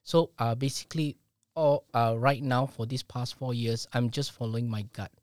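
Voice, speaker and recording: A man's voice: mid-range voice, steady tone, normal speed, a little mellow, dry recording. A middle-aged man speaking earnestly as a guest in a casual interview, often repeating words as he starts sentences. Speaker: business owner guest.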